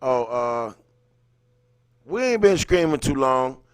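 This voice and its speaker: A man's voice: medium pitch, big pitch swings, slow, clear, resonant tone, dry recording. Man, mid-range voice, casual slangy livestream host, reading and chatting, dry close sound. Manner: informal, slangy, greets viewers by name mid-thought. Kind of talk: casual livestream chat with read-aloud passages.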